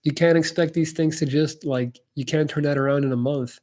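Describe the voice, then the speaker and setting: A man's voice: fairly high pitch, steady tone, a little fast, darkish tone. Candid man in loose chat. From Belgium. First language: Dutch. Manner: candid and loose, full of "like" and restarts. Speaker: YouTuber hosting a live Q&A. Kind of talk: casual livestream Q&A chat.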